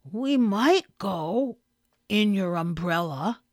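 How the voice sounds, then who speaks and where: A woman voicing a modest, slow-witted toy bear in a children's storybook, speaking earnestly and hesitantly. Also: a little high, lively intonation, dry recording, clean studio sound. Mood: thoughtful. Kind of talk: children's storybook character reading.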